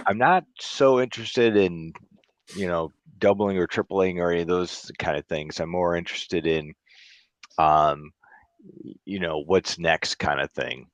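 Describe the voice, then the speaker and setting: A man on a discussion panel talking casually and haltingly, in slightly dull audio. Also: sits low, no echo. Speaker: panel member.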